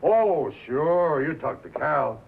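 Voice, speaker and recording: Casting: brash American bandleader, defensive wise guy, animated higher voice, 1950s film drama. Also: slow, gravelly, breathy edge, heavily acted. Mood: scornful. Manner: slangy, cocky, punchy street talk. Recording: muffled vintage film soundtrack.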